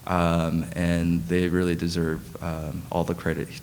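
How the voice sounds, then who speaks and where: A man moderating a medical conference panel, introducing speakers in a hesitant way with many pauses. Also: low-pitched, completely flat delivery, a little mellow, slight background noise. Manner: frequent fillers and restarts. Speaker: conference moderator, emergency physician. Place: conference hall.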